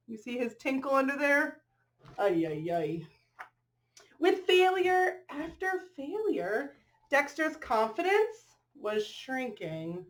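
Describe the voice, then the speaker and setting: A woman reading a storybook aloud to young children, expressively drawing out phrases and pausing to ask them questions. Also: high voice. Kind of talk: storybook read-aloud with asides to young listeners.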